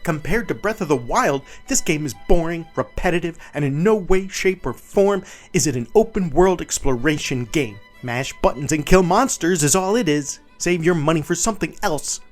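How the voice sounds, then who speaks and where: A man's voice: on the high side, expressive, normal speed, hardly any pauses. A man narrating in a theatrical, exaggerated way, giving a dramatic reading of user reviews. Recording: music behind the voice. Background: background music.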